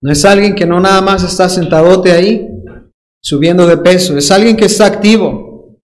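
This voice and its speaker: A man's voice: high-pitched, moderate pace. A man preaching a sermon with a Dominican accent, emphatic and playful, drawing out key words and mimicking people's excuses. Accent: Dominican Spanish.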